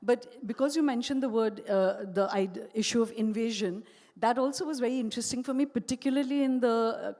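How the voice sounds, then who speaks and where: A woman's voice: mid-range voice, darkish tone. A woman with an Indian English accent, moderating a stage conversation and asking thoughtful, long winding questions. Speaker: interviewer, moderator. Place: stage at a live event, large hall. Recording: hall reverb.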